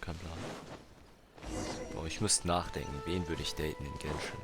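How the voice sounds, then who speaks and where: Low-voiced teenage male German streamer. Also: fairly even intonation, moderate pace. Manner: slangy, rambling, thinking aloud. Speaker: game streamer. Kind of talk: casual live-stream chat. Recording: loud music under stream voice. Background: loud game music.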